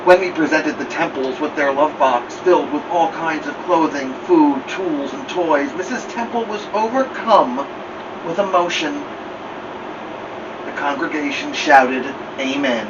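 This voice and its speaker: A man reading a children's picture book aloud as a storytime host, expressively, with drawn-out emphasis. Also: on the high side, animated. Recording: muffled, clear background noise, slightly distant.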